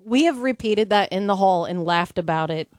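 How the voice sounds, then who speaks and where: A man on a radio show drops short quips into the banter. He talks at a middling pitch. The studio sound is dry. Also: expressive, normal speed, dry recording.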